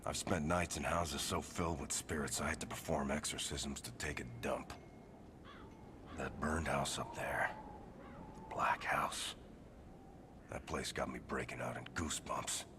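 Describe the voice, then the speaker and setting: A man playing a gruff, brooding storyteller in a video game cutscene, with a gravelly, breathy low rasp and an ominous delivery. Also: fairly even intonation, unhurried, long pauses, noisy background. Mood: uneasy, tough. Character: gruff, brooding man haunted by a burned house.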